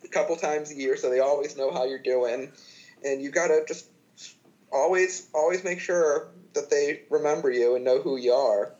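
A man's voice: fairly high pitch, normal speed, darkish tone, highs cut off. A man in his twenties, a podcast guest, talking casually with lots of fillers and false starts. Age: 20s. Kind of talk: casual chat.

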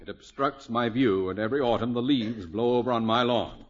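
An actor playing a haughty, vain middle-aged neighbor with deliberate phrasing, in 1950s radio comedy style. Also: male voice, mid-range voice, close to an everyday voice. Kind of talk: 1950s radio sitcom acting. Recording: dull old 1950s radio recording.

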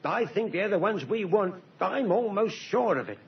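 An actor playing a keen, respectful police sergeant in a radio drama, reporting politely. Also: male voice, high voice, expressive, normal speed, creaky, far from the mic. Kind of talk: 1950s radio drama acting. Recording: muffled radio recording, off-mic.

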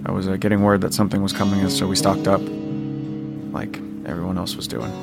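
A weary middle-aged survivor dad in an audio drama. His very low voice crackles with gravel, and he talks haltingly and without fuss, protective underneath. Music plays clearly behind him. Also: monotone. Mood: subdued, reflective. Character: weary, protective father surviving the apocalypse, former journalist.

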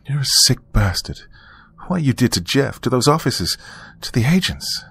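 A man's voice: mid-range voice, animated, slow, creaky, darkish tone, husky, no echo, pitched above the performer's own voice, exaggerated voice. A man voicing a defiant mother in her thirties in a thriller story, blunt, accusing and strained. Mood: outraged. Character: defiant mother in her late thirties, fearful but assertive.

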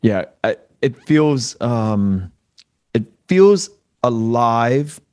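A man with an American accent hosting a casual interview, halting as he searches for words and repeats phrases. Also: mid-range voice, animated, darkish tone, smooth. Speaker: interview host.

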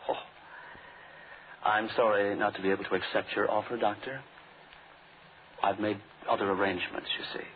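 An actor playing a cool, double-crossing hired killer in a 1940s radio drama, speaking with smug, understated courtesy. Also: male voice, lowish voice, little pitch movement, moderate pace, long pauses, close to an everyday voice. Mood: coolly defiant. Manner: understated, formal, smugly courteous. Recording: tinny, noisy old 1940s radio recording.

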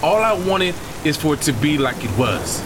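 An actor playing a bitter, grieving brother who talks in a raw, anguished way. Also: male voice, fairly high pitch, very animated, normal speed. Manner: raw, anguished, shifts from resentful to shouting. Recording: noisy storm backdrop.

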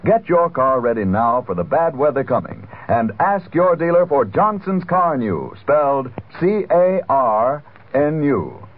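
A man's voice: medium pitch, big pitch swings, a little slow. A man reading a commercial as a 1940s radio announcer. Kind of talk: 1940s radio advertising read. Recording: thin, narrow old radio sound.

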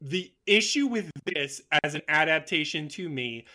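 A man with a bright, forceful, fairly high voice shares opinions as a podcast co-host. He is casual and emphatic, leaning on slang and swearing freely. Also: expressive, a little slow. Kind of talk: casual opinionated film discussion.

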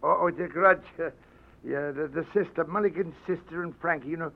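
A man's voice: fairly high pitch, brisk, gravelly. An actor playing a boastful, panicky drunken informer, stammering as he talks, in a 1940s radio drama on a muffled old broadcast. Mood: flustered. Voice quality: blustering, stammering.

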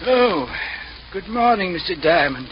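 A proper and easily shocked butler speaks in a high, formal, confiding voice. He is deferential and fussy, in the manner of 1950s radio drama. The old recording is dull, with faint noise. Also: big pitch swings, unhurried. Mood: polite, hesitant.

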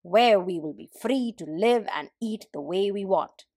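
A woman's voice: mid-range voice, expressive, measured pace, mellow, no echo, studio quality. A woman voicing a companionable, practical pig in a folktale, blunt and emphatic. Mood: practical, challenging.

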